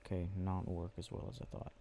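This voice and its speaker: A man speaking softly and drowsily in an ASMR boyfriend roleplay, murmuring with unhurried pauses. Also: low voice, completely flat delivery, a little hiss or hum. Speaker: ASMR roleplay audio creator. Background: game clicking sounds.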